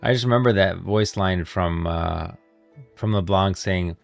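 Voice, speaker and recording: Low, gravelly male streamer thinking aloud. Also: soft background music. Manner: halting, thinking aloud, repeats himself. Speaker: streamer, lore commentator. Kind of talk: casual live game-lore commentary.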